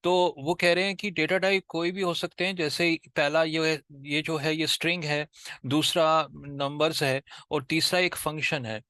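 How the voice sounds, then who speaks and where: A man with an Indian accent giving an informal step-by-step tutorial as a programming tutor, repeating himself haltingly and mixing in English technical terms. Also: on the high side, level tone, pushed and bright, clean tone, no echo.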